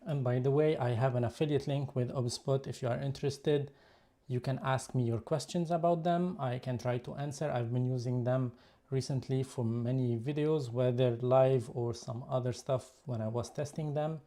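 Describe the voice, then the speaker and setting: A man's voice: mid-range voice, fairly even intonation, moderate pace, dark tone. A man from Lebanon with a Lebanese accent, whose first language is Lebanese Arabic, hosting a casual photography live stream and chatting while he shows his camera gear. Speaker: YouTube photography channel host.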